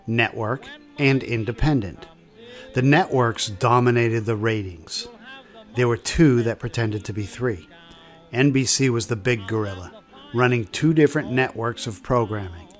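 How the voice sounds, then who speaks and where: Male narrator for scripted radio history documentary, mid-range voice, light music bed. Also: medium pitch.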